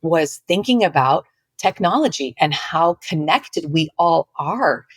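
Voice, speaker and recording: Low-voiced woman author, close and dry. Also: lively intonation, unhurried, breathy edge, dry recording. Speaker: author, podcast guest. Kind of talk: expressive book reading and conversation.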